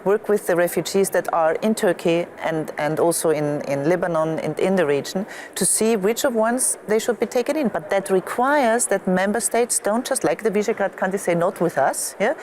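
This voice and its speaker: A woman's voice: mid-range voice, normal speed, hardly any pauses, darkish tone, a little hiss or hum. A woman arguing as a politician on a panel, emphatic and opinionated, often tagging points with a questioning "yeah". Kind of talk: spontaneous political debate. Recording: faint background ambience.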